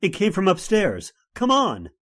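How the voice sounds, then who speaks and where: A man's voice: high-pitched, wildly swooping pitch, a little slow, full character voice. An actor playing an earnest young man who tells his own horror tale in old-time radio style. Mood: alarmed. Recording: radio drama with loud music behind.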